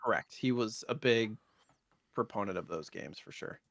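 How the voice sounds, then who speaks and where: A man in his thirties co-hosting a casual gaming chat podcast, talking in a halting way with trailing asides. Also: fairly low pitch, lively intonation, a bit bright, dry recording. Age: 30s. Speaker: podcast co-host.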